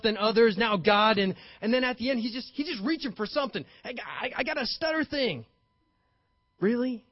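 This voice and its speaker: Animated high-voiced male preacher. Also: no echo. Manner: animated, acts out paraphrased dialogue with playful emphasis. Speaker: pastor. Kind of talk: sermon. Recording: dull, slightly lo-fi, dry sound.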